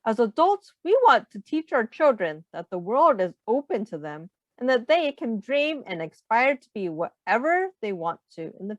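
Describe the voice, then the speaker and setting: A woman reading aloud in an instructive, deliberate way with expressive emphasis. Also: fairly high pitch, dry recording. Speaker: teacher reading a picture book aloud. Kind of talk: read-aloud narration.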